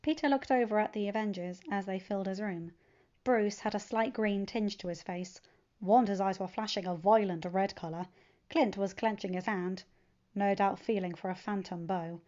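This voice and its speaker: A woman narrating a fan-fiction story as an expressive audiobook-style reading. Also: mid-range voice, normal speed, muffled recording. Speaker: podfic narrator. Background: background music.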